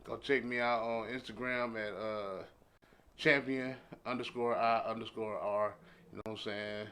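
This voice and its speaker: A man's voice: on the low side, fairly even intonation, normal speed, a bit bright, smooth. A man, a musician guest on a talk show, speaking casually as he promotes himself.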